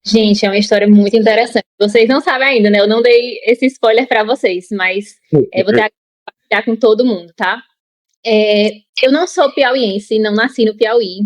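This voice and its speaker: A woman's voice: a little high, moderate pace, no room sound. A young woman from Ceará with a Cearense Brazilian accent, a podcast guest chatting casually with frequent fillers. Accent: Cearense Brazilian Portuguese. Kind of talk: casual conversational storytelling.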